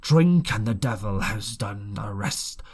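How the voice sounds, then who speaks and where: Villainous old sea captain played by a male narrator: husky, slow, drunken, domineering storybook bellow. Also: fairly low pitch, lively intonation, exaggerated voice. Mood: drunken singing.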